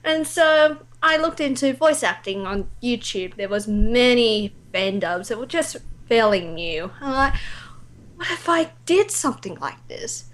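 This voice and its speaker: Young Australian female guest, slightly high voice, casual, theatrical storytelling. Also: animated, unhurried, fairly bright tone. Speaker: amateur voice actor, interview guest. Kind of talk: casual anecdotal chat.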